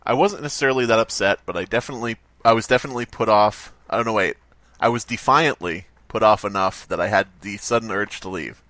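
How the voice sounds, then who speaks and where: A man's voice: fairly low pitch, fast talker, pushed and bright, no room sound. A man hosting a comedy podcast, reading stories aloud and riffing casually with jokes and swearing. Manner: joking, swears casually, riffs on bits. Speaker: podcast host.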